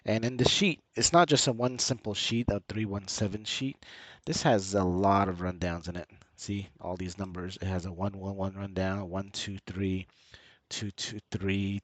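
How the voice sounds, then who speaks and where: A man's voice: low-pitched, fairly even intonation, unhurried, no room sound. A man with a slight cold, narrating a casual screen-share tutorial in a hesitant, repetitive explaining way. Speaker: channel host and tutorial presenter.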